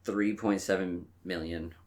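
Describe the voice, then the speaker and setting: A man co-hosting a podcast in casual chat, with informal, halting phrasing that often repeats itself. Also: deep voice, fairly even intonation, darkish tone. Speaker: podcast host. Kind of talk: casual chat about movies.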